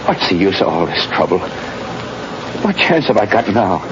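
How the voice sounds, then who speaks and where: A man's voice: sits low, big pitch swings, a little slow. A man playing a bitter, bewildered condemned prisoner in an old-time radio drama. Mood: bitter resignation. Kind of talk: 1930s-40s radio acting. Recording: muffled, noisy old radio broadcast recording.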